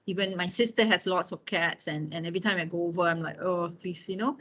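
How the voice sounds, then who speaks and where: A woman's voice: on the low side, fast talker, a bit bright. A woman in her fifties giving an informal lecture with personal anecdotes, talking conversationally and often checking in with her listeners. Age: 50s.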